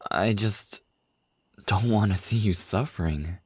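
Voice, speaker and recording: A man playing a deep, husky lovestruck boss. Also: no echo, clean studio sound. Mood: pained, loving. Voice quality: husky, breathy. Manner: soft, hesitant, slow with long pauses. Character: tender, lovestruck male boss confessing to an employee. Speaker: audio roleplay performer. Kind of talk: intimate boyfriend ASMR roleplay acting.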